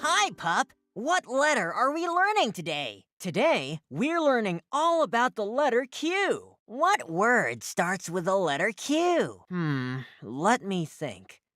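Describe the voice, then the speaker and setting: Woman voicing animated, unhurried kids' cartoon character. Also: medium pitch, dry recording, clean studio sound. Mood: cheerful, curious, then pondering. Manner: exaggerated, sing-song, enunciated for small children. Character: cheerful curious cartoon pup and friend teaching young kids. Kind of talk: scripted children's educational cartoon dialogue.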